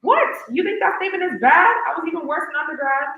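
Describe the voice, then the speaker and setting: A woman's voice: high voice, animated, quick pace. A young woman playing a brash, party-loving friend in a staged reading, blunt and emphatic. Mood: brazen. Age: young adult. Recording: echoey room, distant mic, dull.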